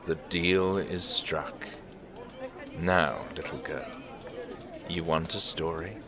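An actor playing a mysterious, sly old vagrant who sells stories, speaking in a deliberate, theatrical, teasing way in an audio drama. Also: male voice, sits low, crowd noise. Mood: grave, mysterious.

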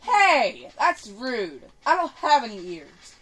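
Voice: mid-range voice, sing-song, takes it slowly, fairly bright tone, slightly rough. A female gaming host giving casual, improvised commentary in a bossy, teasing manner, slipping into play-acted bickering. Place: indoor room, filming a TV screen. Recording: noisy room recording with clicking.

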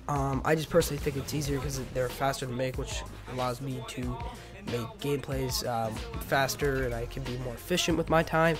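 A teenage male, slightly sick, giving casual, rambling and halting gameplay commentary as host of a gaming video. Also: medium pitch, steady tone. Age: teenager, about 15. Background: music.

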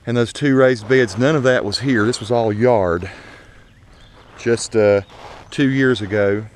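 A man's voice: medium pitch, a little slow, a little hiss or hum. A man giving a folksy, unscripted, conversational tour of his home garden, recorded outdoors on an action camera while walking. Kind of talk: casual vlog narration. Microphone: action camera mic.

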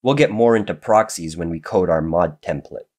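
A man narrating a screen-recorded coding tutorial while getting over a cold, his voice a little raspy and nasal, explaining in a steady, methodical way. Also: low voice, normal speed.

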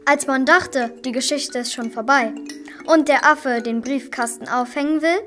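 A woman's voice: high voice, moderate pace, fairly bright tone, soft background music. A primary school girl reading scripted storybook narration as a narrator. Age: children of primary school age. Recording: clearly noisy amateur recording, clicks.

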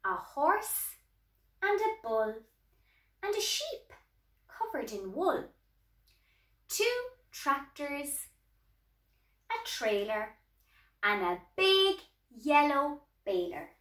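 A woman in her thirties with an Irish accent, reading a children's storybook aloud in a deliberate, sing-song way while signing. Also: high voice, sing-song, a bit bright, distant mic. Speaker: sign language tutor reading a storybook. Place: small indoor room at home. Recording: echoey small room.

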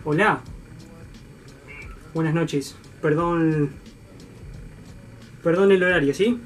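A young man playing a formal, polite Chilean school director on a prank call, with an imitated Chilean accent and halting manner. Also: on the high side, far from the mic, soft background music, voice pushed down. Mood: polite, hesitant.

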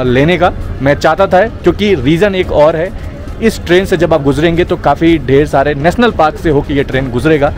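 High-voiced young man vlogging. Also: a little mellow. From India. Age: young adult. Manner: chatty, addresses viewers as brother. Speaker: travel vlogger, host. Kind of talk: casual travel vlog to camera. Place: outdoors at a busy railway station. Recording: outdoor crowd noise, music behind.

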